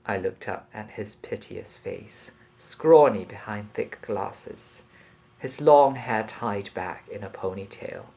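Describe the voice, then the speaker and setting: Slow-paced man reading literary fiction. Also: on the low side, creaky, poor audio. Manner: measured, deliberate, expressive storytelling. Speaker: translator reading aloud. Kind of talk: literary reading of a novel passage.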